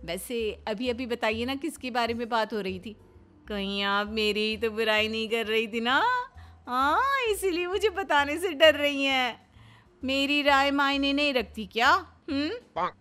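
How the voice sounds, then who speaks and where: Woman doing nosy naive young bahu in a cartoon, really high pitched, pushy and pleased with herself. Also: measured pace, sharp tone, faint music behind. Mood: nosy, indignant. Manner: nosy, insistent questioning. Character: meddling, naive, self-satisfied young daughter-in-law. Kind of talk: animated Hindi family comedy story acting.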